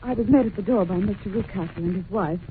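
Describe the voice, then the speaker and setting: An anxious young governess in a vintage radio play, played by an actress, speaking in a genteel, hesitant, earnest way. The recording is narrow and thin with some old broadcast noise. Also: female voice, medium pitch, fast, natural voice. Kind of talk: 1940s radio acting.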